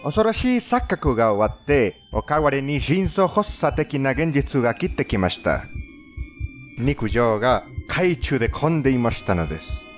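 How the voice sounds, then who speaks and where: Male narrator, non-native accent, lively and melodic intonation, formal scripted storytelling with stilted phrasing, music underneath. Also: medium pitch, moderate pace. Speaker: narrator of a fandubbed countdown video.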